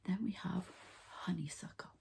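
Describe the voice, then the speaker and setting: A woman speaks low and mellow in an ASMR healing session, slipping in and out of a breathy whisper. Her voice is very creaky, and she murmurs to the listener without hurry as she reads the cards. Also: a little hiss or hum. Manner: hushed, unhurried, murmuring to the listener. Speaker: ASMR energy healer and card reader. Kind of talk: whispered ASMR card reading.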